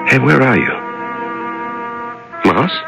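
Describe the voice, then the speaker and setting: An actor in a 1970s radio drama playing a desperate, lonely male prisoner in solitary darkness, halting and repeating himself in a gravelly voice as his sanity frays. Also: medium pitch, wildly swooping pitch, over music, full character voice. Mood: anxious, coaxing. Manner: halting, repeats words, talks tenderly to himself and a mouse.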